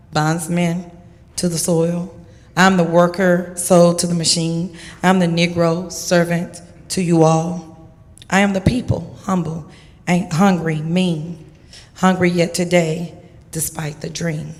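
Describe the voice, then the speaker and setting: A woman giving a dramatic poetry reading with emphatic, deliberate phrasing. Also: on the low side, a little mellow. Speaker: poetry reader. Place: large church hall. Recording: echoey hall.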